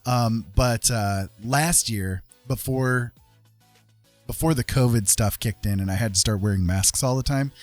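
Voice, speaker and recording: A man hosts a laid-back livestream in a rambling, jokey manner. His voice sits at an ordinary pitch. The sound is dry and close, with faint music underneath. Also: a little slow, no room sound. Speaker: livestream host and artist. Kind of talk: casual livestream chat.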